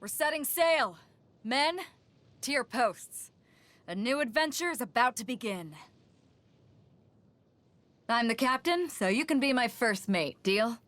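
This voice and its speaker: A woman's voice: on the high side, lively intonation, a little slow, pauses often, a bit bright, no echo. An actress voicing a bold, hearty female sea captain in a video game, with a confident, breezy, casual manner. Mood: bold, rousing. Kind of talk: scripted game character voice lines. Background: music behind the voice.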